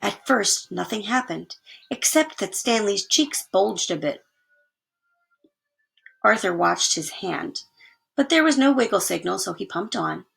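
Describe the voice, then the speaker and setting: A woman reading a children's story aloud in an expressive narrating style. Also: medium pitch, unhurried, creaky, pauses often, slightly rough, rough recording. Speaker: story reader.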